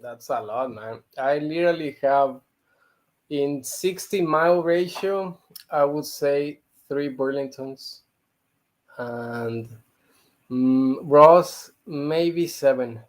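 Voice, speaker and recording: Frank man from Mexico, slightly high voice. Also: takes it slowly. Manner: frank, talks things through out loud. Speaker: guest reseller. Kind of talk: casual livestream chat.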